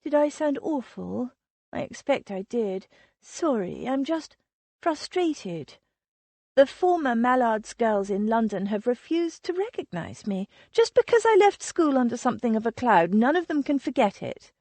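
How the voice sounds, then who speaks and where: A catty, gossiping young lady of Regency society, high and affected, swooping through her words, as a woman narrator puts her on. Dry, close recording. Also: big pitch swings, unhurried, a little mellow, no room sound, exaggerated voice. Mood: frustrated.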